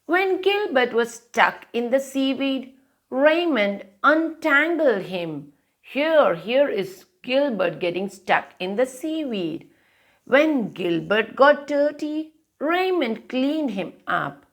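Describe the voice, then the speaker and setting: A woman's voice: sits high, big pitch swings, slow pace. A woman hosting a children's storytime, reading a picture book aloud and pointing out the pictures.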